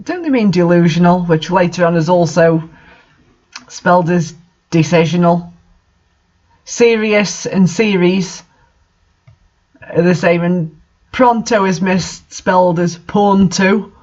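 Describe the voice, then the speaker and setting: A man with a high voice reads amateur fiction aloud in a mocking way. He breaks off for incredulous, exasperated commentary. The recording is dull and lo-fi. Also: slow pace, long pauses, darkish tone. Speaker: fan fiction reader and commentator. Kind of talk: mocking dramatic reading with commentary.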